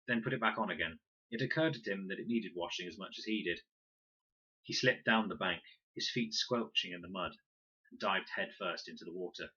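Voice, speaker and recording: A man reading a children's adventure story aloud in an expressive, dramatic storytelling manner. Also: sits low, breathy edge, lo-fi sound. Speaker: audiobook reader and teacher reading aloud. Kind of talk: animated scripted narration of a children's adventure story.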